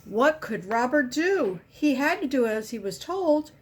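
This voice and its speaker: Female storybook reader, higher register, takes it very slowly, stresses key words for young listeners. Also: very animated. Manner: simple, deliberate storytelling with emphasized key words. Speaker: volunteer children's book reader. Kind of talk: expressive picture-book read-aloud.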